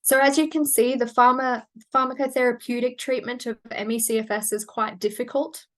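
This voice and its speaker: A woman, presenting medical research in a measured, technical way, with frequent fillers and restarts. She is losing her voice and sounds hoarse. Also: on the high side, steady tone. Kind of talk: academic webinar presentation.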